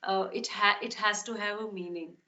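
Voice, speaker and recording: A woman's voice: mid-range voice, steady tone, moderate pace, bright, forceful tone, lo-fi sound. A woman singer being interviewed, answering in an earnest, thoughtful way with many fillers and restarts. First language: not Tamil. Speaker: podcast guest.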